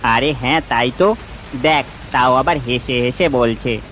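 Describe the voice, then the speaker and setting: A man voicing a bold, excitable village boy in a cartoon, with a brash boyish manner. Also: mid-range voice, a little slow, slight background noise, natural voice. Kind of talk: cartoon voice acting.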